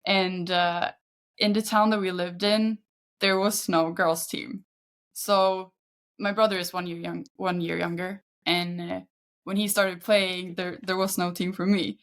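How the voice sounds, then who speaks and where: Female, 30s, Swedish accent, slightly low voice, relaxed conversational podcast guest. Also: measured pace. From Sweden. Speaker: podcast guest, football agent. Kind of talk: casual interview chat.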